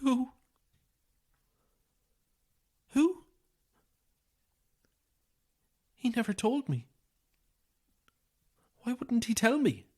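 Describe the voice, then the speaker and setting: A woman's voice: on the high side, sing-song, slow, warm tone, exaggerated voice. An actress in a horror audio drama playing a frightened, unravelling woman, anxious and moving between whispers and cries. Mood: distraught. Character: frightened, unravelling woman trapped on an empty housing estate.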